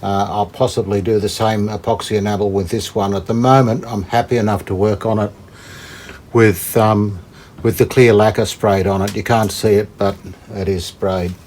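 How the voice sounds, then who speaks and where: A man giving casual hands-on commentary as a hobby restorer, thinking aloud in a tentative, halting way. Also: fairly low pitch, steady tone, darkish tone. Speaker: host, hobbyist radio restorer. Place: indoors, hobby workshop. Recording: clear background noise.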